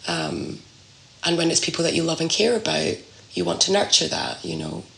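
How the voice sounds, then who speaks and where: Low, creaky-voiced woman, thoughtful. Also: wildly swooping pitch, a bit bright, roomy sound, poor audio. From Scotland. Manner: halting, thoughtful, searching for words. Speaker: podcast guest sharing a personal story. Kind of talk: reflective casual conversation.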